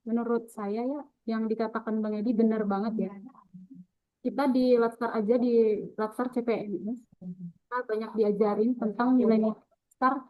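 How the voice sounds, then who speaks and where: A woman's voice: a little high, fairly even intonation, moderate pace, dry recording. A woman from Medan as a guest on a casual podcast, speaking politely and agreeably. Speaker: podcast guest, civil servant. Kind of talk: casual group podcast chat. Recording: tinny, phone-like sound.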